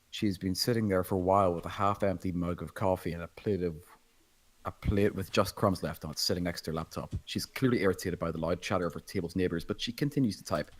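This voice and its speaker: Really deep raspy guy narrating a screenplay at a table read. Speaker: stage directions reader.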